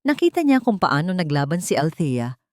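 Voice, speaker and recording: Dramatic woman storyteller, low and dry. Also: very animated, moderate pace, darkish tone, dry recording. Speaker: narrator. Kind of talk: dramatic scripted story narration.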